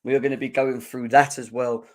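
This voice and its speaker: A young man, his voice hoarse and croaky, hosting a live football fan show and chatting energetically while clearing his throat. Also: mid-range voice, little pitch movement, fairly quick, a little mellow. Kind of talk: live-stream chat.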